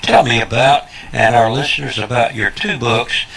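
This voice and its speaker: A man's voice: mid-range voice, normal speed, vocal fry, fairly bright tone, low-quality recording. A man hosting an interview programme, speaking in a formal, emphatic, preacherly way with addresses to his listeners. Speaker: host interviewer. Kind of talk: broadcast interview with formal introductions.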